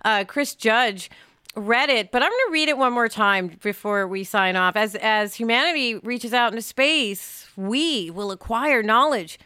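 A woman's voice: fairly high pitch, a little slow, bright, forceful tone, clean tone, no echo, clean studio sound. A woman hosting a podcast, talking in a casual conversational style. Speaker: podcast host.

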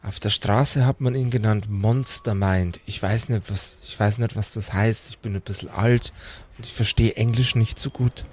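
A man voicing a gentle, slightly muddled elderly grandparent who speaks haltingly and repeats words. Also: on the low side, fairly even intonation, a little hiss or hum, lightly acted. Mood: proud, wistful. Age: old.

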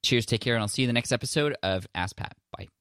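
A man's voice: mid-range voice, fast, fairly bright tone, dry recording, clean studio sound. A man hosting a podcast, talking casually while standing and gesturing, coaching a listener with friendly restarts. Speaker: podcast host.

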